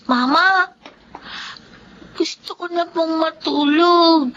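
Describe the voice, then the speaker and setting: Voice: very high voice, slow pace, sharp tone, heavily acted. An actor playing a sweet, cheerful little girl, a young daughter, in a radio drama. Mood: sleepy. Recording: dull, noisy lo-fi recording.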